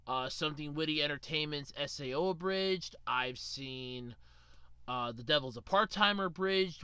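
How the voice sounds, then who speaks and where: Animated man with a high, bright voice. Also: smooth, no room sound. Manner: exasperated, emphatic, sweary. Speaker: online ranter, video host. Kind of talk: informal opinion rant to viewers.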